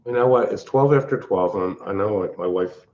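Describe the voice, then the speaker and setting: Loud, blunt older man, low voice. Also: moderate pace, lo-fi sound. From Pennsylvania. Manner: blunt, irritable, rambling. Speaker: livestream host. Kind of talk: casual livestream chat. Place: large open-plan house at night.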